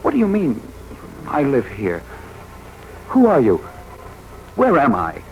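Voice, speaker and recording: A man's voice: medium pitch, wildly swooping pitch, takes it slowly. An actor playing a hard-drinking, befuddled young man in a 1940s radio drama, theatrical and emotional. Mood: dazed, confused. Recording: muffled, noisy 1940s radio recording.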